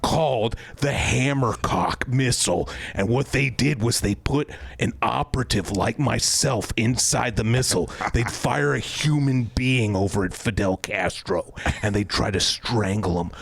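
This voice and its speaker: A man doing a comic impression of an older, gruff ex-special-forces tough guy who tells boastful tales deadpan. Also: medium pitch, unhurried, few pauses, no room sound. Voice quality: gravelly, husky. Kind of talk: comic impression on a talk show.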